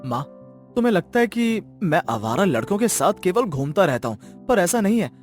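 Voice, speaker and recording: A man's voice: high voice, sing-song, normal speed, soft background music. A man voicing a young jobless village son, pleading and defensive, in a cartoon moral story. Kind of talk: Hindi animated moral story dialogue.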